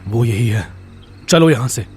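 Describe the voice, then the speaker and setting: A man's voice: mid-range voice, animated, measured pace, darkish tone, husky, faint music behind. A man playing an urgent, protective young hero in an audio horror drama, speaking in terse, concerned phrases.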